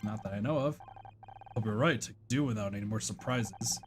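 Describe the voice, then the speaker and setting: A performer voicing a gruff, impatient older male police detective in a video game, curt and commanding. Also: mid-range voice, a little fast, slight background noise, natural voice. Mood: weary, gruff.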